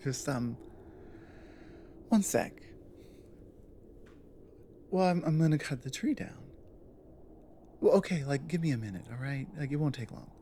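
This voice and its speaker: Slow, low-voiced orc woman played by an actress. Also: expressive, mellow, slight background noise. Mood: casual, confident. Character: strong, gentle female orc warrior, protective and earnest. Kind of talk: intimate ASMR roleplay monologue.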